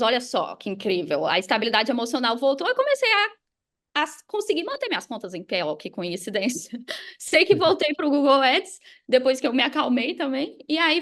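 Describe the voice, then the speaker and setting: A Brazilian woman telling a personal story casually as a podcast guest, animated and informal, often checking in with listeners. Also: high voice. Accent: Brazilian Portuguese.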